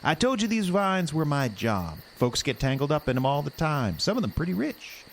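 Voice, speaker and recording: Sly island hobo for audio drama, gruff and folksy, greedy schemer male actor, some background noise. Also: a little high, big pitch swings, moderate pace. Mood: sly, boastful.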